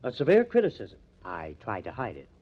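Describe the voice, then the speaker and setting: A man's voice: mid-range voice, wildly swooping pitch, brisk, breathy edge. An actor playing a cynical young male newspaper artist with an affected, wry manner in an old-time radio crime drama, on a muffled old recording. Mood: sardonic. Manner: sardonic, affected, theatrically wry.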